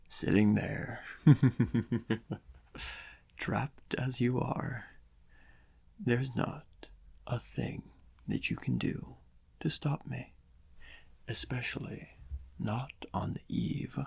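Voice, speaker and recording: A man playing a smug, theatrical criminal mastermind in a soft-spoken ASMR roleplay, breathy and husky, savouring his words with pauses. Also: mid-range voice, full character voice. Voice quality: breathy, husky, often whispered. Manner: slow, savouring, gloating, with drawn-out pauses.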